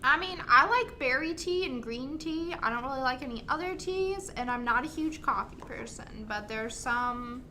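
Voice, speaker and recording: A woman's voice: sits high, animated, normal speed, few pauses, sharp tone, a little hiss or hum. A young woman chatting casually among friends on a gaming channel. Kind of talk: casual chat.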